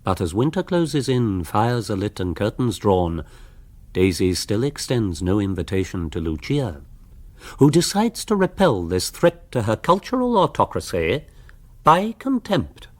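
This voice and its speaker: A man's voice: on the low side, big pitch swings, a little slow. A man with an English accent narrating a radio comedy as a dryly amused, urbane storyteller. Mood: wry.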